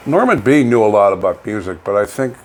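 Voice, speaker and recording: A man's voice: fairly low pitch, big pitch swings, brisk, darkish tone, slight background noise. An older man with a Canadian accent co-hosts a local online radio show in a folksy, rambling, casual chat style. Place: home studio.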